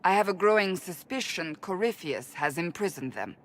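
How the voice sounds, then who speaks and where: Woman voicing a stern woman warrior, low-voiced. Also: lively intonation, natural voice. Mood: serious. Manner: serious, measured.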